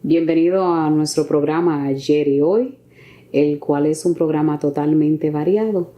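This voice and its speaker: A woman's voice: sits low, unhurried, mellow, highs cut off. A woman hosting an interview program, speaking off the cuff and often searching for words. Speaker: talk show host. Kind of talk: unscripted interviewing.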